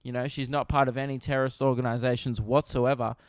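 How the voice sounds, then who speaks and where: Australian male comedy podcast host, close mic, smooth clear voice, loose and sweary, cracks himself up. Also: mid-range voice, level tone, fast talker, no room sound. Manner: riffing, swears freely, laughs at his own jokes. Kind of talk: casual solo podcast chat.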